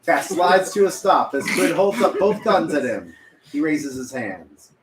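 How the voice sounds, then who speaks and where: A man's voice: on the high side, very animated, a little slow. A man reading scripted narration as narrator at a table read. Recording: echoey room, faint background noise.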